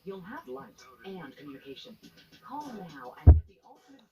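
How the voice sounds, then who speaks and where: Infomercial announcer, mid-pitch, rough fry, noisy crowd bed behind. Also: very animated, normal speed. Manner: urgent sales pitch. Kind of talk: advertising pitch.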